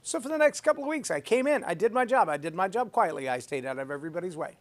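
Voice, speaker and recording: A man's voice: sits high, animated, quick pace. A man hosting a leadership podcast, talking emphatically and repeating phrases for effect as he tells a personal story. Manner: self-described loud voice. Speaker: podcast host.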